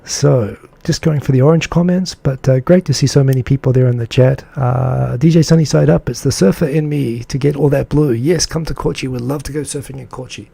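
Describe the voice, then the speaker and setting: Gravelly-voiced man hosting a live chat. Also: mid-range voice, steady tone, brisk, hardly any pauses, warm tone, no room sound. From English-speaking country. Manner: rambling and enthusiastic, lots of fillers; reads out and answers viewer comments. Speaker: live show host. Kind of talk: casual live-stream chat.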